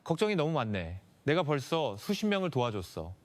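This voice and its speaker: A smooth, calculating recruiter in his thirties from an audio crime story, read by a male narrator. He coaxes with overconfident ease, in a lively, varied voice. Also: medium pitch, brisk, natural voice. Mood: smooth, coaxing. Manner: smooth, confident, coaxing.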